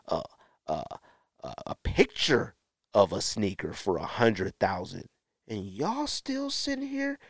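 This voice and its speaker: A man's voice: fairly high pitch, no room sound, studio quality. A man hosting a solo podcast, talking in a blunt, exasperated way with emphatic rhetorical questions, like an opinionated rant. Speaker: podcast host.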